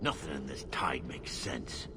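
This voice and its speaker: An actor playing a gruff, commanding dwarf expedition leader in a fantasy video game. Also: male voice, a bass voice, expressive, measured pace, heavy background noise. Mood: puzzled. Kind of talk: dramatic video game dialogue.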